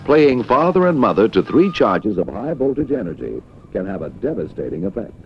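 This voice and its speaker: An American man reading scripted narration for a 1960s corporate training film in a polished, measured announcer's delivery. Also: lowish voice, sing-song, music in the background. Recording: dull, dated 1960s film soundtrack.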